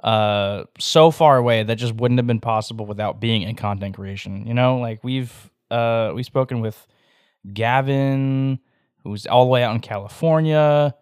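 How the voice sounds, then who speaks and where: Young male co-host, rambling and filler-heavy, mid pitch, dry sound. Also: moderate pace, darkish tone, smooth, no echo. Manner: rambling, filler-heavy, self-deprecating jokes. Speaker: podcast co-host. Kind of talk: casual chat.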